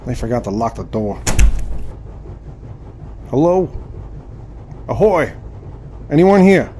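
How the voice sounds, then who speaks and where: A man's voice: medium pitch, big pitch swings, slow, dark tone, slightly rough, full character voice. An actor playing a proud, indignant Southern crossbowman of the 1870s in an audio drama, with formal, theatrical speech. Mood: groggy, bewildered. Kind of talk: audio drama with first-person narration.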